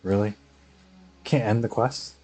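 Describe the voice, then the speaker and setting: A man's voice: lowish voice, steady tone, measured pace, dark tone, poor audio. A young adult man giving casual, slangy live reaction commentary as a game streamer, in short offhand remarks.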